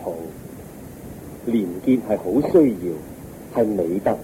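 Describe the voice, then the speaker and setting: An actor playing a calm, compassionate holy teacher, speaking in measured, solemn Hong Kong Cantonese in a radio drama. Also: male voice, fairly low pitch, a little hiss or hum, rough recording.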